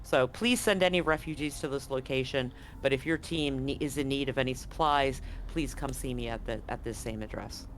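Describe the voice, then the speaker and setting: A man's voice: mid-range voice, normal speed, hardly any pauses, a bit bright, slight background noise. A man acting as game master, narrating a live tabletop roleplaying game and explaining things to players and audience.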